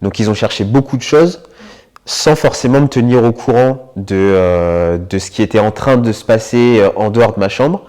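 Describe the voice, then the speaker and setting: A young man in his twenties recounts his own experience in an interview. His voice sits slightly low with a warm, mellow tone, and he speaks reflectively, pausing often to find his words. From Paris region, France. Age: 20s. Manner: reflective, hesitant, frequent filler pauses. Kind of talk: personal testimony interview.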